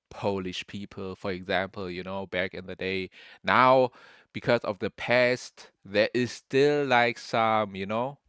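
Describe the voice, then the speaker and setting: A man's voice: sits low, fairly bright tone, clean tone, no room sound, studio quality. A young man from Germany talking casually to camera in a loose, rambling way, peppering his talk with bro. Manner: slangy, loose, says bro often. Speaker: vlogger.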